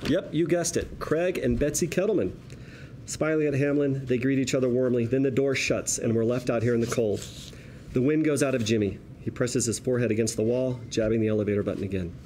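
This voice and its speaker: A man's voice: medium pitch, fairly quick, warm tone, a little hiss or hum. A man reading screenplay stage directions aloud as narrator, in a wry, colloquial storytelling manner. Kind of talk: scripted screenplay narration, present tense.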